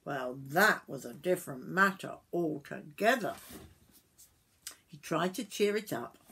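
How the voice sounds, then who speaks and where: A woman in her sixties reading a picture book aloud to young children like a grandmother, expressively, with drawn-out words and little asides to the listener. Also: low-pitched, breathy edge. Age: 60s. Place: small wooden summerhouse.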